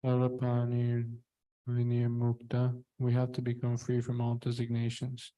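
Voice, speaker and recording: Utterly flat, mellow male repeater. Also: medium pitch, a little slow, low-quality recording. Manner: flat recitation of phrases. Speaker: student repeating the lecture.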